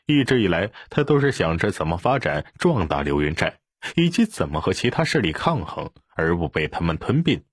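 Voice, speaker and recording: Male audiobook narrator: low register, lively dramatic delivery, close dry recording. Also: normal speed, husky, no echo. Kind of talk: scripted dramatic story narration.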